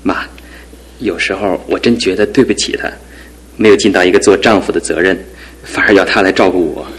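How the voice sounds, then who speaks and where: A young husband in a radio play, speaking to his mother with quiet sincerity. His voice sits low and husky, reflective and grateful as he recovers from an injury. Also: fairly even intonation, a little fast. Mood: earnest. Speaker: son and husband. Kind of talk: radio drama acting.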